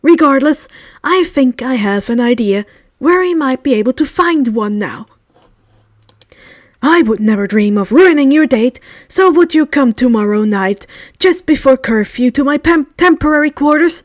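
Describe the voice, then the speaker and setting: A woman's voice: high-pitched, expressive, normal speed, dry recording, clean studio sound. A woman voicing a kindly, whimsical elderly male wizard, genteel and wordy, as if reading out his letter in a storybook. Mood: genial, conspiratorial.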